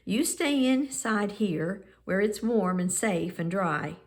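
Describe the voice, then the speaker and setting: Storybook mother, acted by a woman reader: protective, firm, instructive, slow-paced. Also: medium pitch, lively intonation. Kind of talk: storybook character dialogue in read-aloud.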